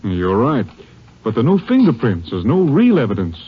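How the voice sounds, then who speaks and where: An American actor playing a skeptical, businesslike captain of the Texas Rangers in a 1950s radio drama, with a husky voice. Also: male voice, medium pitch, wildly swooping pitch, normal speed. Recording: muffled vintage radio recording.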